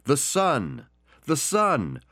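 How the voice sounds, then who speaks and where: A man's voice: on the high side, huge pitch swings, extremely slow, fairly bright tone, no echo, voice pushed up, full character voice. A man voicing an insistent male auctioneer calling out bids in a dry, close recording.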